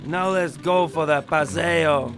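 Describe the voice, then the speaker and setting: An actor playing a menacing, foul-mouthed prison guard giving commands in a theatrical radio play. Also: male voice, a little high, slow, a bit bright, soft background music. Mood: harsh, commanding.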